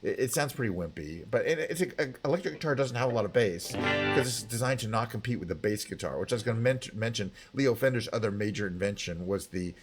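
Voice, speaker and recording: A man's voice: on the low side, expressive, fast. A man streaming from a home setup who talks casually and rambles through technical points, often stopping to correct himself. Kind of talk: singing with guitar, then casual chat. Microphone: headphones and studio mic. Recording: guitar music underneath.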